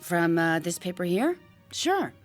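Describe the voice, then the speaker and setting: Game role: keen novice heroine, lowish voice, halting, music behind. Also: female voice, very animated. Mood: excited. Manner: eager, halting. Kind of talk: comedic game cutscene acting.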